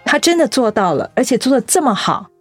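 A woman's voice: fairly high pitch, sing-song, brisk, husky, faint music behind. A woman playing a determined, heartfelt middle-aged director in a radio drama, speaking earnestly. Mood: moved. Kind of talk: Mandarin radio drama acting.

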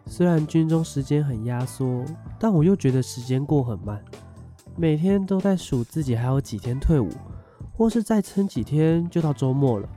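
A man's voice: a little high, animated, moderate pace, dark tone, lightly acted. A man with a Taiwanese accent playing a young army conscript in a radio drama, earnest, chatty and good-natured. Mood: earnest, grateful. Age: young adult. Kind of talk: scripted radio drama dialogue. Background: background music.

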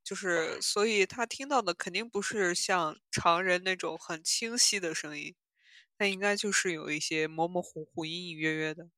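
Female podcast host, bright clear-cut tone, conversational and musing, short phrases, recorded dry. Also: fairly low pitch, moderate pace, dry recording. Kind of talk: casual chat reviewing audio dramas.

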